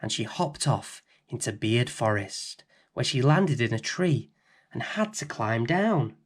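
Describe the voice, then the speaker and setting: Male storybook reader for bedtime tales: theatrical, deliberate, very lively pitch. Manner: dramatic, theatrical, deliberate phrasing. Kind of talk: expressive children's bedtime story narration.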